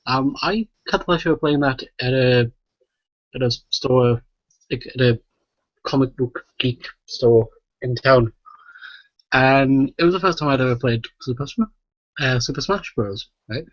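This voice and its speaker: A man's voice: mid-range voice, a little slow, pauses often, no echo, lo-fi sound. A man hosting a casual, sweary podcast in a playful, jokey way. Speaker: podcast host.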